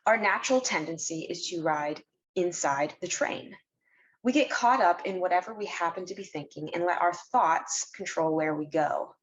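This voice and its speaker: A woman's voice: lowish voice, rough recording. Woman guiding a scripted meditation. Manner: measured, instructional, with deliberate pauses. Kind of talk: scripted guided meditation narration.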